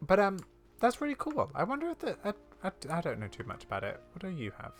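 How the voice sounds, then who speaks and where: A woman's voice: mid-range voice, huge pitch swings, quick pace, faint music behind. A woman giving casual let's play commentary, musing and thinking aloud as she plays. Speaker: gamer commentating on a playthrough.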